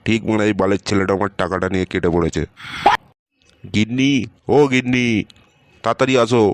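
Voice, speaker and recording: A man's voice: lowish voice, brisk, natural voice. A man voicing an irritable, foul-mouthed village father in a comedy cartoon, scolding in exasperation. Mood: alarmed, furious. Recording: loud background noise.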